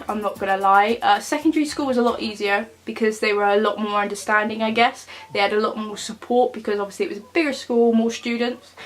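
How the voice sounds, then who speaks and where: A young woman in her twenties chats straight to camera in a relaxed vlog. Her voice is nasal and stuffy from a cold, and she talks plainly with plenty of ums and uhs. Faint music sits behind her. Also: medium pitch. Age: 20s. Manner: chatty, plain-spoken, many fillers. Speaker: vlogger. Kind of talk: casual talk to camera.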